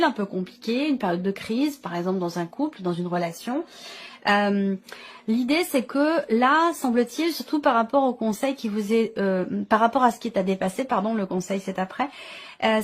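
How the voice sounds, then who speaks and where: A woman giving an improvised tarot reading to viewers, explaining as she goes and often rephrasing as she searches for words. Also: fairly high pitch. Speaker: tarot reader and channel host.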